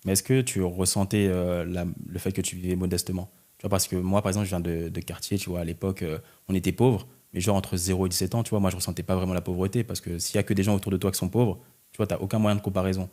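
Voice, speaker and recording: A young man with a low, dark voice who works as a casual interviewer. He asks his questions quickly and informally, dropping in brief acknowledgements. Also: little pitch movement.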